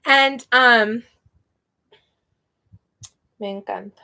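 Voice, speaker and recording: A woman's voice: a little high, extremely slow, vocal fry, sharp tone, poor audio. A young woman teaching a casual spoken lesson, chatty and prone to tangents, laughing often. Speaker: Spanish teacher.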